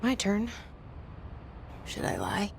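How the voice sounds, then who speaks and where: An actress playing a wary young woman's hushed, confiding inner monologue in a video game. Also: medium pitch, wildly swooping pitch, slow, vocal fry, slight background noise, heavily acted. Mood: wry, wary. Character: wary young woman thinking aloud, new agency director.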